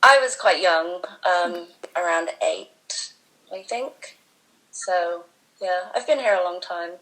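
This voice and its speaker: Low-voiced young woman from Bahrain. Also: takes it slowly, a bit bright, rough recording. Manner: easygoing, hesitant with "um"s. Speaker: metal band member. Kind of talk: casual interview chat.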